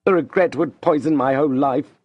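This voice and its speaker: A man's voice: on the high side, a little mellow, no echo, pitched above the performer's own voice, close to an everyday voice. A man reading as a cool, resolute young man. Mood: anxious. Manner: earnest, measured, resolute delivery. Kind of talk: audiobook reading of a classic novel.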